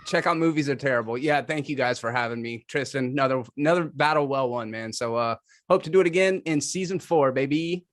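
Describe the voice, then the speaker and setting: A man's voice: medium pitch, brisk, hardly any pauses, no echo, studio quality. A man speaking as a debate contestant, trading wry, teasing remarks in casual banter.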